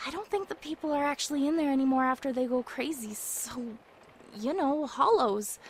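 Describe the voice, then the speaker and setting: A high-pitched girl survivor voiced by a woman in an apocalypse audio drama. She is polite and practical, explaining earnestly with some hesitation, over faint noisy ambience. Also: natural voice. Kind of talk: fan audio drama acting.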